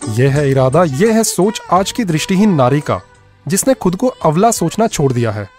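A man presenting a radio programme with scripted, expressive and measured narration. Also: a little high, over music.

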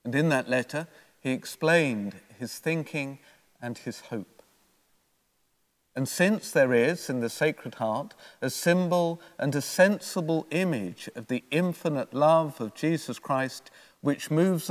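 Older priest in a reverberant church. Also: male voice, medium pitch. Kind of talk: homily, read from prepared notes. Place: church, at a lectern. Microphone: gooseneck lectern microphone.

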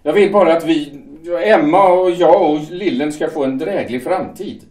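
A man's voice: on the high side, darkish tone, reverberant, recorded from a distance, lightly acted. An actor playing a working man who defends joining the party to his brother, earnest and persuasive. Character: shipyard foreman turned party loyalist, brother. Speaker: Erich, older brother. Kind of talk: amateur audio drama acting.

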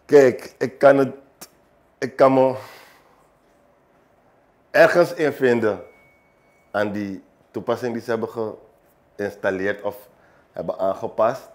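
A man in his thirties with a Surinamese accent, answering in an unscripted interview in a halting way, repeating phrases for emphasis. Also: medium pitch. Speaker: barber, small business owner interviewee. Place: small barbershop, indoors, seated. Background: background music.

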